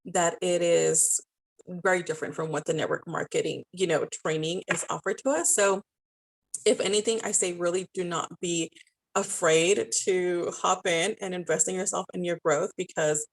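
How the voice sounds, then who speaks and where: A woman's voice: fairly low pitch, steady tone, normal speed, gravelly, low-quality recording. A woman with a Spanish accent giving encouraging, informal advice as a guest in a conversational interview. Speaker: podcast guest, style coach and network marketer.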